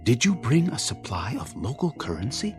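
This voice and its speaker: A male actor plays a polite, faintly odd stranger in a radio drama. His speech is formal and measured in wording, yet the pitch swings very widely, and music plays faintly underneath. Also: very animated, lightly acted. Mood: smooth, courteous. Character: courteous, odd stranger, a man quietly sizing people up.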